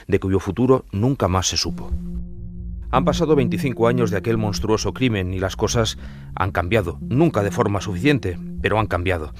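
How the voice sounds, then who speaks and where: A man with an accent from Spain, reading scripted true-crime narration. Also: on the low side.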